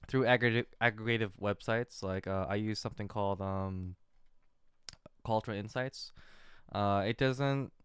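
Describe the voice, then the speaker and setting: A man giving an off-the-cuff screen-share commentary as an amateur investor, talking in a halting, rambling way. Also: fairly low pitch, clean tone, no echo, studio quality. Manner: halting, rambling, hesitant delivery. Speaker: retail investor recording a portfolio update.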